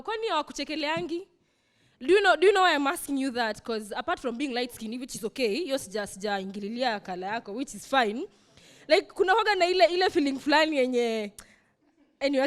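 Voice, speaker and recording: A Kenyan woman hosting a comedy night, playfully roasting guests on stage. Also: high-pitched. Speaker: host. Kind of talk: stand-up compering.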